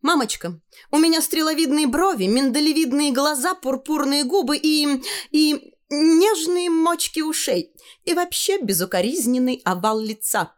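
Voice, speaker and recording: A woman's voice: sits high, expressive, moderate pace, voice pushed up. A woman voicing a vain, cheeky, dramatic teenage girl in a told story, with a gushing singsong delivery. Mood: vain, defiant.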